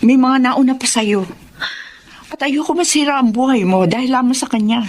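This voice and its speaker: A woman's voice: high voice, slight background noise, natural voice. A woman playing a composed, resolute wronged wife in a radio drama, speaking emphatically with a Filipino accent. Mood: firm, earnest. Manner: deliberate, emphatic. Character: composed, resolute wronged wife warning her husband's girlfriend.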